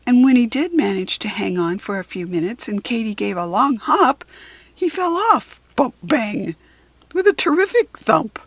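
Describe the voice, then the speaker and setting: Female storybook reader for children: expressive, deliberate, lively intonation, breathy-husky tone. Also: a little high, dry recording. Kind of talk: expressive read-aloud narration for children.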